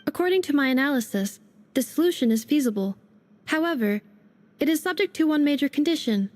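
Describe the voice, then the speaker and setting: A woman's voice: sits high, lively intonation, a little fast, darkish tone. An actress voicing an analytical young female android in a video game, speaking in clipped, precise, matter-of-fact phrases.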